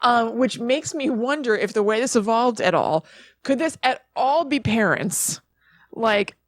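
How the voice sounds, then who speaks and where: Higher-voiced woman, casual podcast co-host. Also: expressive, a little slow, dry recording. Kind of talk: casual chat, informal explaining.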